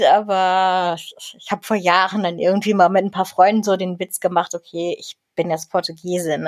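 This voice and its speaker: A woman's voice: medium pitch, no room sound, clean studio sound. A young German woman talking casually as an interview guest, rambling with many fillers and restarts and laughing often.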